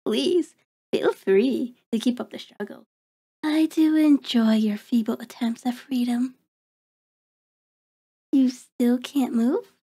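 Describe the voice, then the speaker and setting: A woman's voice: on the high side, warm tone, husky. A woman playing a cold, taunting vampire in an intimate ASMR horror roleplay, speaking with mocking, formal deliberation. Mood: mocking, menacing. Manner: slow, deliberate, mocking, haughty formal diction. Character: cold, taunting, predatory female vampire toying with prey.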